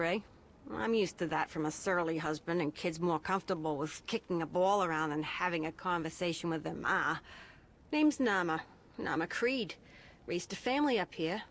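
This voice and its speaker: A woman's voice: fairly low pitch, slight background noise, natural voice. A woman voicing a tough, weary middle-aged small-town mother with a New England accent in a video game, plain-spoken, wry and guarded. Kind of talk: dramatic cutscene monologue.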